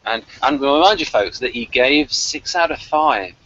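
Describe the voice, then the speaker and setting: A man hosts a casual review chat in a bright, forward voice of medium pitch. He speaks informally, as in a conversation. The recording is dull and lo-fi, like an internet call. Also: animated, moderate pace, slight background noise. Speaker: review show host. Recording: muffled lo-fi call audio.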